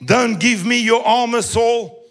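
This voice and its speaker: A man preaching a sermon in a sing-song manner that rises into shouts. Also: very high voice, a little slow, vocal fry.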